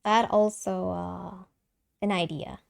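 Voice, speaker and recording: A woman's voice: fairly low pitch, steady tone, slow, gravelly, a little mellow. A young woman streamer with a light soprano voice, chatting casually and giggling, with lots of fillers and restarts. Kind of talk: casual live-stream chat.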